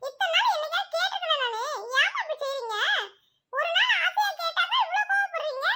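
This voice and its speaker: A woman's voice: very high voice, expressive, brisk, sharp tone, heavily acted. A woman voicing a sulky young girl who feels left out, whining and pleading. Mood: whiny. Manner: whiny, pleading.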